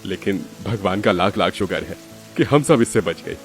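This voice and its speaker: A man's voice: deep voice, normal speed, soft background music. A man voicing a stubborn, easygoing father-in-law in a Hindi cartoon story. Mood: thankful.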